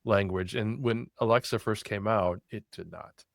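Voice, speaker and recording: A man's voice: lowish voice, moderate pace, vocal fry, dry recording, clean studio sound. A man hosting a podcast interview, chatting in a friendly, agreeing way and asking follow-up questions. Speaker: podcast host. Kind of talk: casual interview chat.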